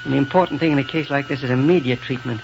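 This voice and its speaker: A man playing a kindly, cautious doctor in a 1930s radio serial, soothing and deliberate, on a noisy old broadcast. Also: mid-range voice, vocal fry, highs cut off, music in the background, close to an everyday voice. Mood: concerned. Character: kindly, cautious doctor tending a hurt boy.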